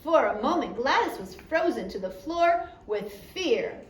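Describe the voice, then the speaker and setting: A woman's voice: sits high, big pitch swings, measured pace. A woman narrating a story aloud as an audiobook reader. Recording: roomy echo, faint background noise.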